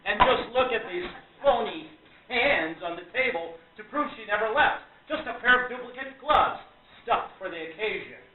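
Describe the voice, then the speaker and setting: A woman's voice: fairly high pitch, expressive, moderate pace, natural voice. A woman playing a scornful, triumphant male magician in a radio play, declamatory and mocking. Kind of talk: stage radio play acting. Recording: tinny, echoey, distant with crowd noise.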